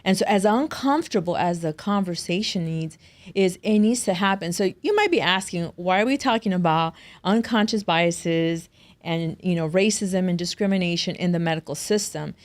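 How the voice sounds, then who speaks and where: A woman's voice: fairly low pitch, moderate pace. A woman hosting a health podcast, a doctor, speaking emphatically and addressing her listeners directly. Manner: emphatic, affirming, speaks to the audience. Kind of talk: conversational interview.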